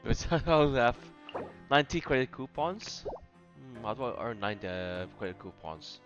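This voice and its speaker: A woman with an extremely low voice gives casual commentary on a game playthrough. She mutters short asides to herself and the characters, with game music clearly audible behind her. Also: moderate pace, dull audio. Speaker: game streamer.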